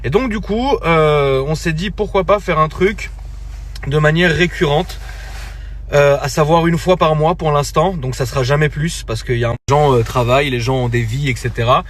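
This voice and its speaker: A man chatting casually into his phone from a car, relaxed and slangy, with lots of fillers and restarts. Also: on the high side, normal speed. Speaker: social media creator. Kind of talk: casual phone story announcement.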